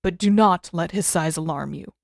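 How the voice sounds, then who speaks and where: A woman voices a calm old male mentor in an audiobook fantasy. The delivery is formal, measured and instructive, in a lowered, dry voice. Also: gravelly, dry recording. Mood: calm, reassuring. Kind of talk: audiobook character acting.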